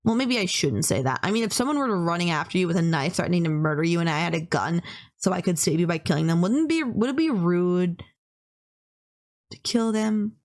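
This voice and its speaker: A woman's voice: on the low side, animated, a little fast. A woman playing a polite, gushing young female kidnapper in an intimate anime-style roleplay, earnest, apologetic and rambling, obsessively in love. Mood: earnest, insistent.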